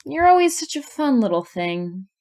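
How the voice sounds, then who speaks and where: A woman's voice: sits high, big pitch swings, moderate pace, a little mellow. A woman playing a teasing shapeshifting fairy with playful menace in an audio drama.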